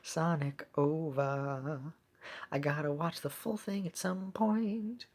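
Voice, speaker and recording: A woman's voice: low-pitched, slow, darkish tone. A woman giving casual, rambling gameplay commentary as a video host, with playful wordplay.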